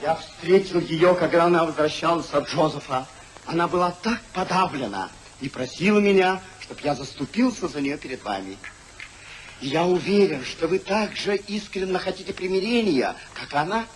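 An actor playing a good-natured, earnest family friend and peacemaker in a 1950s radio play, theatrical and soothing. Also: male voice, fairly high pitch, lively intonation, moderate pace, natural voice. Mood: soothing, pleading. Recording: noisy old 1950s radio recording.

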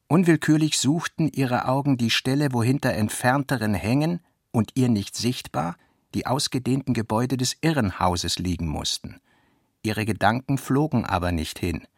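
A man narrating literary prose for a radio play in a measured, deliberate manner. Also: mid-range voice, dry recording, studio quality. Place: dry studio.

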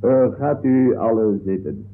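An actor playing a formal, officious and impatient courtroom judge in a 1960s comic radio play. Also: male voice, mid-range voice, measured pace, natural voice. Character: stern, formal, exasperated male courtroom judge. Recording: noisy narrow-band old radio recording.

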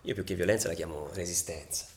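An actor playing a passionate, embittered football ultra in a halting, colloquial confessional monologue for radio theatre. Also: male voice, low-pitched, steady tone, slight background noise, lightly acted. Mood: defiant, reasoning. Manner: halting, colloquial, dropping to near-whispers.

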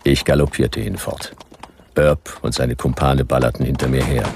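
An actor playing a laconic, soft-hearted detective hero in a radio drama, dry and understated in dialogue and narration. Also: male voice, a bass voice, little pitch movement, normal speed, slight background noise. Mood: wry narrating.

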